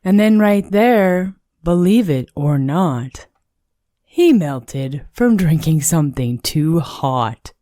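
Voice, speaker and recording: Woman reading a kids picture book, slow n very animated pitch, close dry recording. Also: no room sound. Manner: measured, expressive, read-along delivery. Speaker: storybook narrator. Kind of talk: expressive scripted children's story narration.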